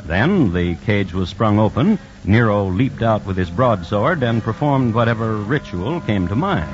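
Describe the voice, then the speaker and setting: An actor playing a wry, erudite storyteller, hosting and narrating an old radio crime drama in a dry, measured manner. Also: male voice, on the low side, background noise. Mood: dry, ironic. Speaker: host and narrator. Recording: muffled old radio recording.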